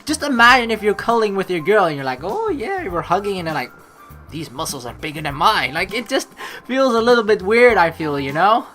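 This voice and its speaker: A woman's voice: medium pitch, very animated, brisk, fairly bright tone. A woman hosting a game playthrough, reading the text aloud and adding jokey, rambling casual commentary. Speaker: let's play host. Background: game music.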